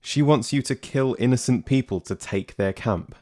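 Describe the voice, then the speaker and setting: A man's voice: mid-range voice, moderate pace, warm tone, natural voice. A man playing a protective, blunt survivor in a naturalistic audio drama, urgent and sweary. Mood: indignant.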